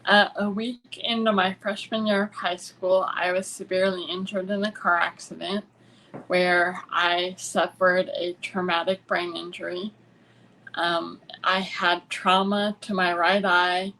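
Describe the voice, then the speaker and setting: Slow-paced American woman, conversational. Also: fairly low pitch, level tone, pushed and bright, slight background noise, rough recording. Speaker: interview guest. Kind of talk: conversational personal storytelling.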